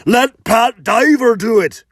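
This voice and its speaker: A huge, rough bully from a folktale, acted by a male storyteller. He is defiant and curt, barking his words out loudly in a dry, close sound. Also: very high-pitched, takes it slowly, no echo, exaggerated voice.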